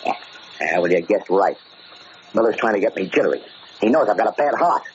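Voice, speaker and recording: An actor playing a paranoid, bullying gangster in a gruff, slangy, commanding way, in 1940s radio drama style. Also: male voice, on the low side, lively intonation, brisk. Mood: wary, edgy. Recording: noisy, muffled old-time radio recording.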